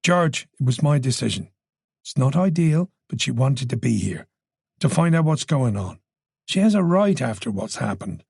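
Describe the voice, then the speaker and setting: A narrator voicing a calm, protective male senior detective with an Irish accent, reasonable and soothing, in an audiobook. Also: medium pitch, normal speed, vocal fry, breathy edge, dry recording, clean studio sound, full character voice. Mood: reassuring. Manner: steady, soothing, easy and unhesitating.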